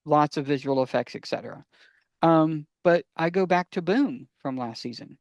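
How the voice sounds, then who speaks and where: Man, slightly high voice, podcast co-host, emphatic explainer, self-answered questions, casual talk, dry close sound. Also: moderate pace, no room sound.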